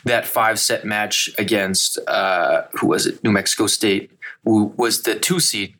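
A man's voice: lowish voice, fairly even intonation, measured pace, bright, forceful tone. A young man hosting a casual sports podcast, talking loosely with restarts and fillers. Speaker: host of a college sports podcast.

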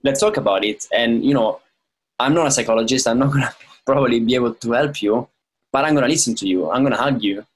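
A man's voice: mid-range voice, quick pace, rough recording. A man in casual conversation, speaking thoughtfully and acting out the remarks he quotes. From lives in London. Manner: reflective, acts out quoted remarks.

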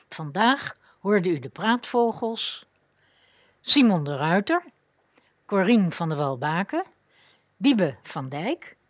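A woman announcer reading radio credits slowly and deliberately. Her mid-range voice has lively intonation, with a dry, close sound. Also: mid-range voice, husky, dry recording. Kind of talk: scripted closing announcement.

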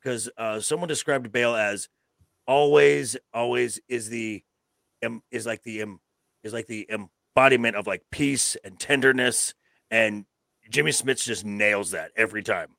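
Gravelly guy on a podcast, dry close sound, keeps restarting words, pretty emphatic. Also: mid-range voice, pushed and bright, no echo. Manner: halting, repeats words, emphatic. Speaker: podcast co-host. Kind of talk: casual opinionated review chat.

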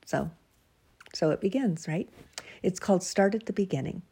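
A middle-aged woman hosts her own podcast in a tired, hoarse voice. Her tone is low, dark and gravelly, with a husky edge. She rambles in a chatty way and sometimes slips into soft whispers. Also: moderate pace. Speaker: podcast host. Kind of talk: casual chat and reading her own writing.

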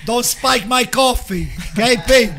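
Hoarse, gravelly, high-pitched man. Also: a little slow, sharp tone. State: hoarse from screaming. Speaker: podcast co-host. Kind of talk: casual sports banter.

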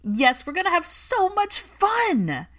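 A woman voicing a giddy, chirpy young nanny witch in an audiobook, animated and perky. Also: very high voice, takes it slowly, pitched above the performer's own voice, heavily acted. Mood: excited. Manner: animated, perky, sing-song with excitement. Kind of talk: audiobook character voice.

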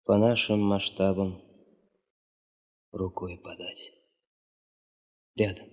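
An actor in a Soviet radio play as a dry, unhurried man, a traveller describing his formidable new boss. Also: low voice, little pitch movement, a little mellow, husky, dull audio, heavily acted. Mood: wry, informative. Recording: long reverberant hall echo.

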